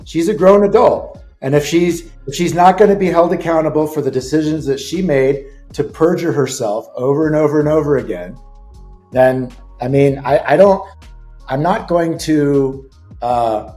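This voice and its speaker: A man's voice: fairly high pitch, moderate pace, warm tone, reverberant, soft background music. A man hosting a casual podcast discussion about his own custody case. He speaks firmly and emphatically, repeating his points. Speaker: host, father in custody case.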